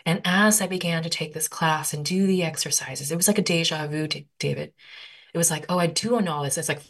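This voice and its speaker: A woman poet answering interview questions, thinking aloud with lots of "like" fillers and an animated, conversational manner. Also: sits low, a little fast, breathy edge.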